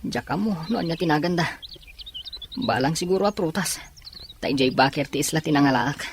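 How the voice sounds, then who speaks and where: A man with a Filipino Ilocano accent playing a calm, resourceful island man in a drama. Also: a little high, a little fast. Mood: matter-of-fact. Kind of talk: drama dialogue. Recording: noisy outdoor ambience with birds.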